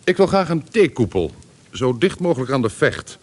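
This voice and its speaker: An actor playing a wealthy, boastful, self-important gentleman in a Dutch radio play. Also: mid-range voice, big pitch swings, moderate pace. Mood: boastful, eager. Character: wealthy boastful gentleman showing off his country estate.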